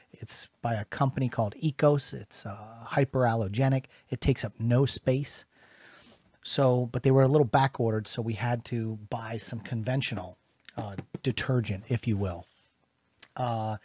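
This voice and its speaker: A man's voice: medium pitch, darkish tone, husky, dry recording. An American man in his forties talking casually and emphatically to camera as a vlog host, in an earnest, conversational way. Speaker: YouTube host. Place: small dim home studio room, indoors. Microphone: studio microphone on boom arm, close.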